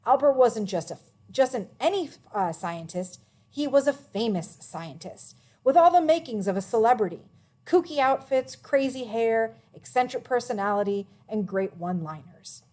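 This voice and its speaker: A woman reading a children's biography aloud as a narrator, with expressive, emphatic phrasing. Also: on the high side, normal speed.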